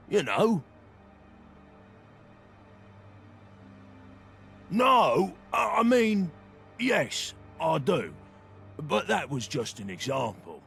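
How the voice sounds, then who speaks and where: A man's voice: a little high, gravelly, husky, heavily acted. A man playing an insecure, earnest young brawler in a video game, speaking plainly and hesitantly, stumbling over his words. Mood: awkward, nervous. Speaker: video game companion character. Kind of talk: game voice acting, halting heartfelt dialogue.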